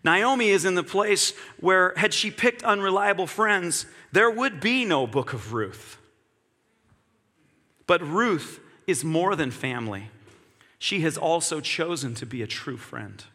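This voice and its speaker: A man's voice: high-pitched, lively intonation, a little slow, pushed and bright, long reverb tail. A man preaching a sermon in an earnest, reflective way, repeating key phrases.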